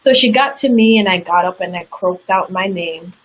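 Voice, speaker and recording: A woman's voice: fairly low pitch, normal speed, highs cut off. A woman guest in an interview, telling a personal story in a candid, earnest way. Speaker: interview guest, success coach.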